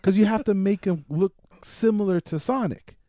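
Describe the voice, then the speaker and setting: A man talking casually and excitably, with slang and swearing, as he hosts a movie review podcast. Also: sits high, normal speed, dry recording, studio quality. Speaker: podcast host.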